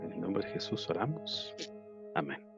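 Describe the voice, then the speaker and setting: A man's voice: deep voice, big pitch swings, normal speed, vocal fry, loud music behind the voice. A man with an Ecuadorian Spanish accent gives an earnest devotional sermon, repeating phrases for emphasis. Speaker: lay preacher. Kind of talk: devotional sermon with prayer and scripture reading. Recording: roomy, dull lo-fi online call audio.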